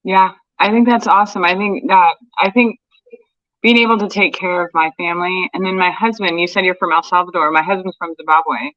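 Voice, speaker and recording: A woman with a bright, forceful voice talks casually on a podcast, wandering through her thoughts with lots of "um" and "like." The sound is dry and a little dull. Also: on the low side, fairly even intonation, a little fast, no room sound. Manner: rambling, lots of fillers and restarts. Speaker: homeschooling mom.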